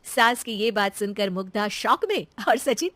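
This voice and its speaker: A woman's voice: mid-range voice, animated, moderate pace, fairly bright tone, no echo. A woman reading a scripted moral tale as the narrator of a story.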